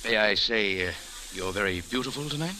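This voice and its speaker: Hard-boiled American male detective, played by an actor, sardonic, clipped lines with swinging pitch, vintage radio. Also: animated, brisk, a crowd in the background, natural voice. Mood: wry. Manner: laconic, sardonic. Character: wry, tough American private eye. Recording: hissy old-time radio recording.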